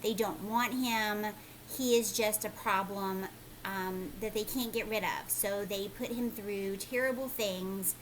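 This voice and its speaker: A woman teacher reads aloud to children in an expressive, emphatic way, at an average pitch for a woman. She mixes storytelling with explanatory asides, with faint noise in the recording. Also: slow, rough recording.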